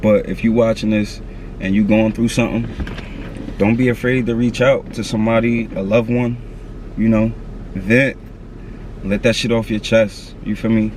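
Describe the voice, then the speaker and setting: A man in his thirties speaks outdoors in a low register, his delivery level with little rise and fall. He talks earnestly and loosely in slang, circling back to the same advice. Faint traffic hums behind him. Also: steady tone, normal speed, long pauses, darkish tone. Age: 30s. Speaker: vlogger. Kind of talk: candid motivational talk to camera.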